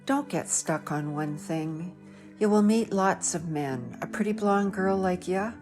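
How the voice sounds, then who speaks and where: A man's voice: sits high, unhurried, darkish tone, faint music behind. A woman voicing a talkative, friendly middle-aged male taxi driver with an Australian accent. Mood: reassuring.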